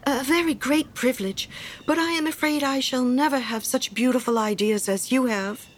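Lady reader doing a shy little guy from a storybook, meek n humble, higher voice, super eager to please. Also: normal speed, slight background noise, natural voice, low-quality recording. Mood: humble, admiring. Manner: shy, timid, deferential. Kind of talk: storybook character reading.

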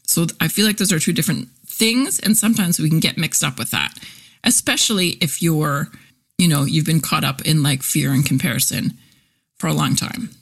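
Chatty woman in her forties, lowish voice. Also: normal speed, vocal fry, fairly bright tone, husky. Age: 40s. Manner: chatty and candid, many fillers, laughs easily. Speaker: podcast host, copywriter and content teacher. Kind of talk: casual solo podcast chat.

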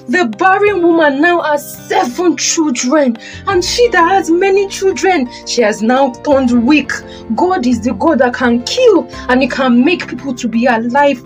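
Casting: actress as a grateful, once-mocked Nigerian mother, high voice, fervent and declamatory, for a podcast play with music underneath. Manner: emphatic, declamatory, repeats phrases. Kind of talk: podcast audio drama acting.

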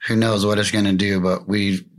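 A man with a raspy voice, a punk band member chatting casually and repeating himself. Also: on the low side, little pitch movement, fairly quick. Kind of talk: casual chat.

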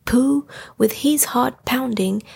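A woman narrating a children's bedtime story in a gentle, breathy, husky voice. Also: on the high side, slow pace.

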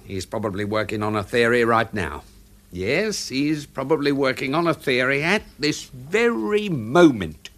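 An actor playing a genial, meticulous British manservant at detective work in a radio drama, chatty and patiently coaxing. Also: male voice, mid-range voice, lively intonation, unhurried, fairly bright tone. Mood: patient, pleased.

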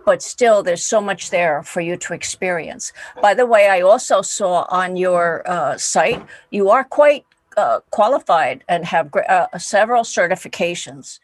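A woman's voice: fairly low pitch, expressive, unhurried, low-quality recording. A woman hosting a podcast interview, speaking in an encouraging way with frequent "uh"s. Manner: warmly encouraging, with filler "uh"s. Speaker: podcast host. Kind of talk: interview chat.

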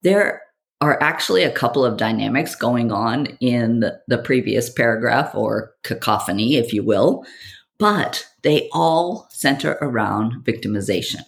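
Theatrical woman podcaster, widely swooping pitch. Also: animated, unhurried, creaky. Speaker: podcast host. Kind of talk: scripted podcast monologue.

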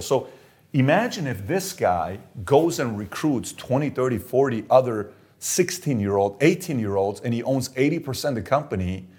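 A man's voice: medium pitch, slightly rough. A middle-aged man from Iran, an entrepreneur being interviewed, working through figures aloud and stressing points with "okay?". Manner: works through numbers aloud, emphatic, repeats "okay?". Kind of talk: animated business interview talk.

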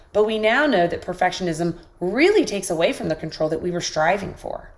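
A woman hosting a podcast, giving an encouraging motivational talk while sick with a squeaky voice. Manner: encouraging, coaching listeners directly. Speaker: podcast host.

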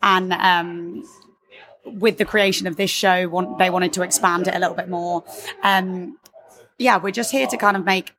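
A woman, a theatre company member, chatting casually in an interview with many ums and restarts; her voice is slightly husky today. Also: lowish voice, steady tone, normal speed, a bit bright, rough recording.

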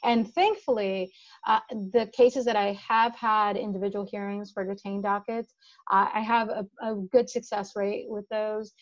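A woman's voice: mid-range voice, steady tone, normal speed, muffled recording. A woman in her thirties from the Dominican Republic, an immigration attorney talking through her work with frequent restarts. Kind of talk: conversational chat.

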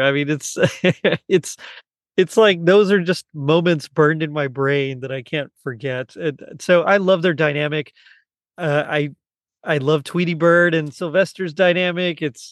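A man's voice: fairly high pitch, no room sound, studio quality. A middle-aged man in a casual fan chat, talking as a podcast co-host.

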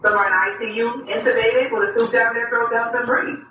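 A doctor calling in to a radio show, explaining medical points in a measured, emphatic way. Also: medium pitch, level tone, very echoey, distant mic, dull audio, background noise. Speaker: urgent care doctor, caller. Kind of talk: phone-in explanation.

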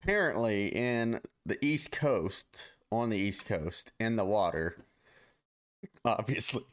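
A man's voice: on the low side, animated, slow. A man co-hosting a live-streamed podcast, chatting casually and joking, rambling and stumbling over his words. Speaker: podcast co-host. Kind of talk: casual chat.

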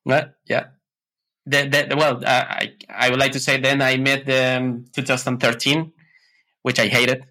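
Ecuadorian guy w spanish accent chatting on a podcast, bright forward voice, a bit halting. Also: mid-range voice, little pitch movement. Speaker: podcast guest, tech consultant. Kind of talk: casual interview chat.

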